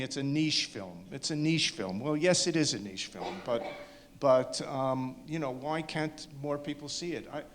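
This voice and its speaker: A man speaking informally in an audience Q&A, halting and often repeating and rephrasing himself. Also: mid-range voice. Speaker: filmmaker answering questions at a screening. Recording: echoey hall, long reverb.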